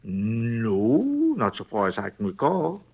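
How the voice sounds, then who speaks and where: An actor playing a chatty, folksy hotel night clerk, rambling and hesitant, in a 1950s radio drama. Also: male voice, on the low side, lively intonation, takes it slowly, creaky, darkish tone, highs cut off, exaggerated voice. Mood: recalling, unhurried.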